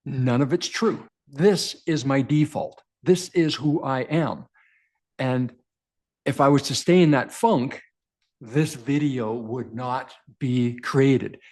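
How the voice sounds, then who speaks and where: Earnest baritone man coaching to camera. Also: slow, darkish tone. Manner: earnest, direct, repeats phrases for emphasis. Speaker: men's coach and show host. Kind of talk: motivational self-help talk to camera.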